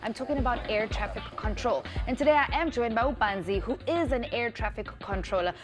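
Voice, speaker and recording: Chatty South African woman TV host, higher-pitched. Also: fairly quick, music in the background. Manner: informal, chatty, expressive. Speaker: TV show host. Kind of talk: upbeat youth TV interview. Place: TV studio.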